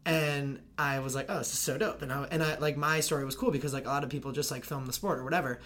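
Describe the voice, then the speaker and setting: A young woman with a deep, raspy voice, a college lacrosse player and video creator, rambling fast in casual podcast chat with constant fillers. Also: fairly even intonation, a bit bright. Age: young adult.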